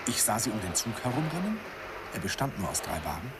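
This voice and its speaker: Brief: low, expressive, wistful narrator, hissy old tape. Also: male voice, fast. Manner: wistful storytelling, chuckling. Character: older man fondly recalling youthful romance. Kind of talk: 1950s radio play narration. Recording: hissy old radio recording.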